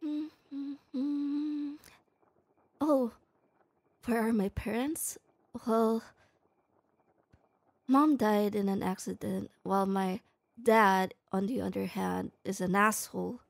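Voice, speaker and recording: A woman's voice: medium pitch, slow pace, vocal fry, long pauses. A young woman in an ASMR roleplay, playing a shy tomboy who talks hesitantly and stammers, close to the mic. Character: shy tomboy girl, gruff but kind. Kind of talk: ASMR roleplay, speaking to the listener.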